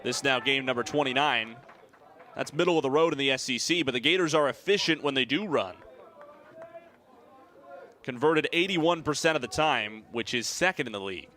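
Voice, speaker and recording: A young American man calling baseball play-by-play in a measured, articulate way. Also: medium pitch, sharp tone. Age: young adult. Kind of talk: sports play-by-play commentary.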